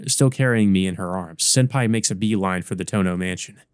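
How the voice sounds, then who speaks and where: A man's voice: deep voice, fairly quick, clean tone, no room sound, studio quality, pitched below the performer's own voice, close to an everyday voice. A man playing a weary, wounded teenage boy who narrates his own visual novel story in the first person. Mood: weary, worried.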